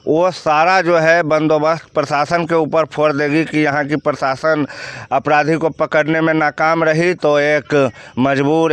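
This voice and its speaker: A man's voice: fairly high pitch, clean tone, no room sound. A man with a Bihari accent giving blunt, outspoken opinions as a news commentator answering questions.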